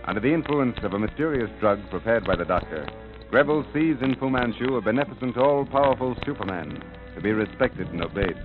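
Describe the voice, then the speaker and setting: A man's voice: mid-range voice, animated, a little fast. A man reading a formal recap narration as the announcer of a vintage radio drama. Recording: narrow-band 1930s radio with music. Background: dramatic music.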